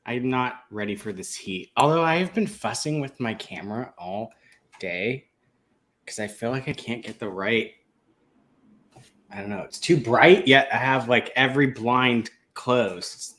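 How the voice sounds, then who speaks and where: A man's voice: mid-range voice, a little slow, sharp tone. A man on a movie panel chatting casually, wry and mildly complaining. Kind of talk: casual chat.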